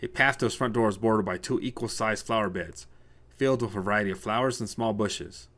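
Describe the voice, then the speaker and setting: A man's voice: on the low side, a little fast, a bit bright. A man narrating a scripted short story as a storyteller.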